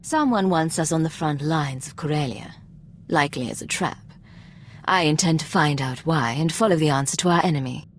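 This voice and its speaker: Low-voiced, composed female agent, played by an actress. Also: normal speed, slight background noise, lightly acted. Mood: controlled, firm. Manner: controlled, formal, firm. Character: composed, determined female imperial secret agent. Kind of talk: video game voice acting.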